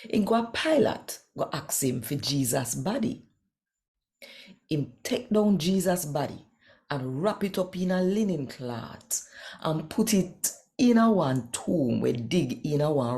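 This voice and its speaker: A woman's voice: deep voice, mellow. A woman with a Jamaican accent hosting a devotional channel and reading scripture in Patois. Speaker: host and scripture reader.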